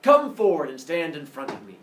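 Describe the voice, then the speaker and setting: A man's voice: on the high side, big pitch swings, a little fast. A man voicing a mighty, gigantic sun god and solemn father in a myth storytelling, grand and declamatory. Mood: commanding. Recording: roomy, distant mic, faint noise.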